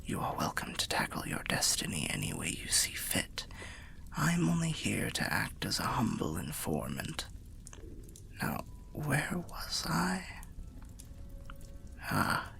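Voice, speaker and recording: Guy doing wary old undead soul telling fantasy asmr tales, half whispered, super swoopy pitch, gravelly and confiding. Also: sharp tone, full character voice. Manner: slow, confiding, old-fashioned storyteller phrasing. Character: weary undead storyteller by a bonfire, wry, cautious. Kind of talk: ASMR fantasy roleplay monologue.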